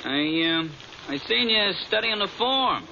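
Casting: chummy barman, slightly high, very bright gravelly voice, slow talk, muffled radio sound. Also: animated, exaggerated voice. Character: chummy, deferential male bartender. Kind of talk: old-time radio drama acting. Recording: dull, hissy old radio recording.

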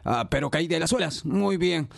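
An actor playing a smug, mocking Navy petty officer in a radio drama, muttering and sneering in a drawn-out way. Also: male voice, on the high side, expressive, creaky, no room sound, studio quality, exaggerated voice.

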